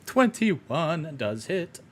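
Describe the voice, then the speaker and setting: A man's voice: a little high, sing-song. Swearing, laid-back young male game master. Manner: profane, joking, quick to laugh. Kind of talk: casual tabletop game running.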